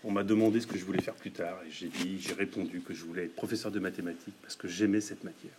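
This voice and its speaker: A man's voice: low-pitched, steady tone, quick pace. A male maths teacher answering a question plainly, with slight hesitation. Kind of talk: casual spoken interview answer. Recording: noisy crowd in the background.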